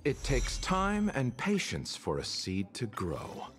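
Slow, lilting, patient game character. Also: male voice, mid-range voice, wildly swooping pitch, a bit bright, soft background music, heavily acted. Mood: calm, patient. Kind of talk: scripted game voice line.

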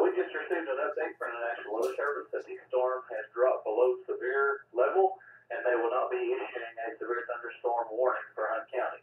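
A man speaking as an amateur radio net control operator, in procedural, courteous and matter-of-fact radio talk over a two-way radio. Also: medium pitch. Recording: tinny narrowband radio, distant, echoey, noisy.

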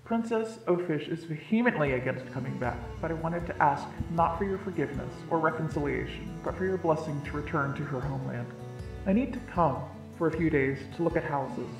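A reader voicing an earnest, gentle young husband and father-to-be in a storybook tale, speaking plainly and sincerely. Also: medium pitch, a little fast, gravelly, roomy sound, faint music behind, natural voice, poor audio. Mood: formal. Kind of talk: reading a story's dialogue and letter.

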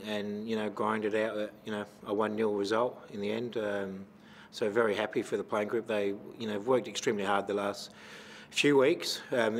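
Flat-voiced Australian coach at a press table. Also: male voice, fairly low pitch, a little hiss or hum. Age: middle-aged. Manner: measured, many fillers and restarts. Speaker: football coach. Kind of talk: post-match press conference answers. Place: press conference room with sponsor backdrop. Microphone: table microphone.

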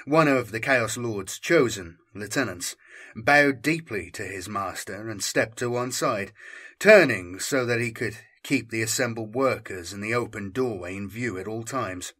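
A man narrating a dark science fiction audiobook in a dramatic, theatrical and ominous manner. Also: mid-range voice.

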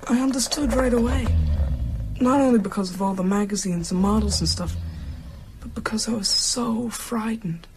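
A woman's voice: medium pitch, normal speed, a little mellow, slight background noise, natural voice. A woman playing a frightened but resourceful young boy in a radio drama, earnest and fearful. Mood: hushed recounting. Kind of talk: radio drama narration and acting.

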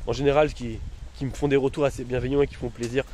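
A man's voice: mid-range voice, flat delivery, fast talker, mellow. Slangy man in pain, noisy outdoors. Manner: slangy, rambling, talks straight to his followers. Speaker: vlogger. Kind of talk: casual vlog. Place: outdoors among trees and bushes. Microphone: phone held at arm's length. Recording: noisy outdoor phone recording. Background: outdoor ambience.

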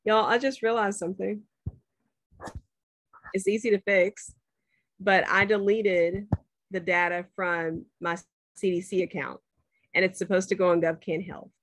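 A woman's voice: medium pitch, steady tone, a little slow, pauses often, no echo, low-quality recording. A woman professor walking students through a spreadsheet on a shared screen, chatty and checking in with them often. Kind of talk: screen-share class demo.

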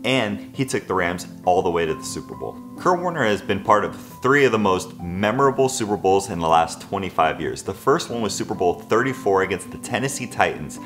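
A man's voice: sits low, very animated, brisk, hardly any pauses, fairly bright tone, soft background music. A man in his twenties tells a football underdog story to camera in a casual, conversational way, often saying "you know". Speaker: sports video host. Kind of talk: scripted sports storytelling to camera. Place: living room of an apartment.

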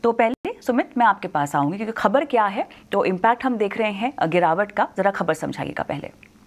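A woman's voice: medium pitch, sing-song. Woman anchoring business news in studio. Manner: brisk, with frequent fillers.

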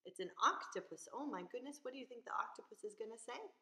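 A woman teacher reading a picture book aloud expressively, pausing to ask young listeners questions and explain simply. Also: a little high, lively intonation, pushed and bright. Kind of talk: expressive children's read-aloud. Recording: echoey room.

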